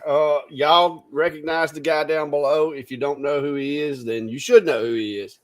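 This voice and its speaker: A man in his fifties with a fairly high voice. He hosts a live stream in a loose, friendly way, with plenty of fillers. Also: steady tone, moderate pace. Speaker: host. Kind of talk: casual live-show chat.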